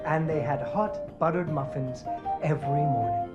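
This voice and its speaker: A man's voice: fairly high pitch, normal speed, lo-fi sound, natural voice. A man playing a playful, doting father who narrates a fairy tale with wry expression in a video game. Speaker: storyteller. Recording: music under the voice. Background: prominent music.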